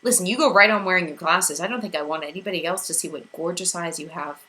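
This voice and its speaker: A woman voicing a gentle, steady teenage boy who speaks softly and kindly. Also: fairly low pitch, fast talker. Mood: tender. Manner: gentle, soft-spoken.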